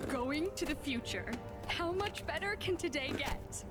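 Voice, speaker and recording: A high, lively voice, an upbeat young superheroine in a video game. She is casual and bubbly, with loud music behind her. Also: measured pace. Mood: excited. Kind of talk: video game cutscene acting.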